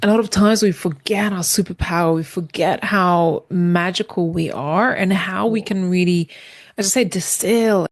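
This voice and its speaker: A woman's voice: on the low side, moderate pace, no room sound, studio quality. A woman hosting a podcast interview, affirming her guest and speaking directly to the audience. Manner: warm, affirming, addresses the audience. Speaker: podcast host. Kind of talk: interview, encouraging remarks.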